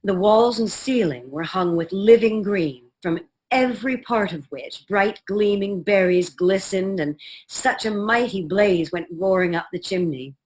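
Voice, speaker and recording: A woman's voice: medium pitch, measured pace, darkish tone. A woman narrating a scripted radio play.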